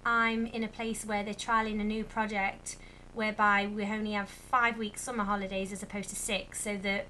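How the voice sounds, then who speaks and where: A young woman talks casually to camera, rambling along with plenty of fillers. Her voice is bright and a touch croaky. A faint low rumble sits in the background. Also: flat delivery, moderate pace, poor audio. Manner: chatty, rambling with many fillers. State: slightly croaky. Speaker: vlogger.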